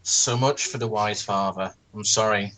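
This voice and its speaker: Mid-register casual commentator, halting and repetitive, with a mocking edge. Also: medium pitch, fairly bright tone, low-quality recording. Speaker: online video commentator. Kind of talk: casual group critique chat.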